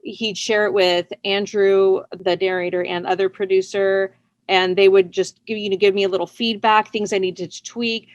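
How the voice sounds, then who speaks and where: A woman's voice: medium pitch, level tone, moderate pace, no echo, dull audio. Female interview guest, writer. Casual, chatty, self-effacing delivery, very little room sound. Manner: chatty, self-deprecating, often laughing lightly as she talks.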